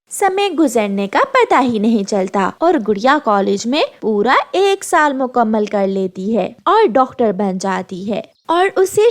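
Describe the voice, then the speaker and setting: A woman narrating a scripted children's cartoon story. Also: fairly high pitch.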